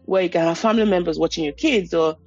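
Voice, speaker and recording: A woman's voice: fairly low pitch, fast, darkish tone, breathy edge, dry recording, lo-fi sound, soft background music. A woman host from Nigeria who asks interview questions and offers thoughtful, encouraging comments.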